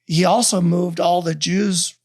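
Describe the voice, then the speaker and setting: A man's voice: sits high, steady tone, a little slow, gravelly, a little hiss or hum. A man who grew up in Denmark, a guest chatting casually and jokingly on a podcast, often restarting his sentences. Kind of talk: casual chat.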